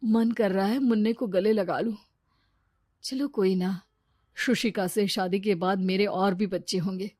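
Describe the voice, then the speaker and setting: A woman's voice: mid-range voice, steady tone, a little slow, a little mellow, no echo, natural voice. A woman performs a selfish businessman husband from a moral cartoon tale. The voice is medium for a woman and dry. He comes across boastful, brash and fickle. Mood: wistful. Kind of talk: Hindi cartoon story dialogue.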